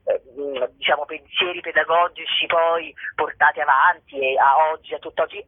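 A woman's voice: lowish voice, no room sound. A woman, a stage actress, talking spontaneously in a radio interview, expressively rephrasing things for emphasis. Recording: tinny, dry phone line.

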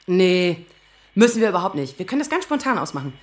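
An actress playing a flustered, easily agitated woman in her thirties in an audio drama, speaking haltingly and naturally. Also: on the low side, sing-song. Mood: nervous, awkward. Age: 30s. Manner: halting, stammering, nervous laughs, quick to flare up. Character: flustered, agitated 35-year-old woman lawyer, daughter of Iranian exiles.

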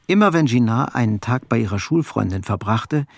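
Gravelly husky guy narrating a radio drama, sober and measured, dry sound. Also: mid-range voice, animated, dry recording. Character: sober, observant male storyteller of a melancholy novel. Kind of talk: scripted radio play narration.

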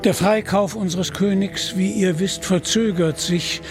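A man's voice: high voice, fairly even intonation, measured pace, over music. A man voicing a proud but indebted knight in an audio storybook, speaking formally and earnestly. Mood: earnest, pleading.

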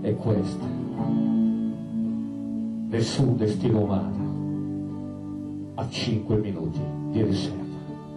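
Distant, roomy man narrating slowly on stage. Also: fairly low pitch. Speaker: stage storyteller. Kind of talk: live theatrical narration over guitar. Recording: roomy live stage, distant mic, loud guitar.

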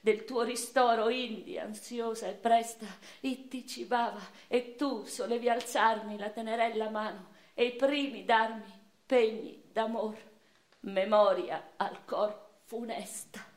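A woman's voice: on the high side, steady tone, a little slow, lightly acted. An actress playing a grieving, passionate eighteenth-century noblewoman poet who recounts her life in an intense, theatrical radio monologue. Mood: hushed grief. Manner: theatrical, intense, swinging from hushed sorrow to anguished outcry. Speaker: stage actress. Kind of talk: dramatic radio theatre monologue, first-person literary narration. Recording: roomy echo, faint music behind.

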